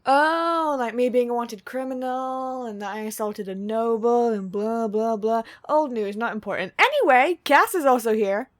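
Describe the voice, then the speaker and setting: A young woman playing a cheeky, chaotic prankster muse in a fantasy audio drama, loud and slangy, with sudden excited jumps in pitch. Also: normal speed, hardly any pauses, natural voice. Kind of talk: fantasy audio roleplay acting.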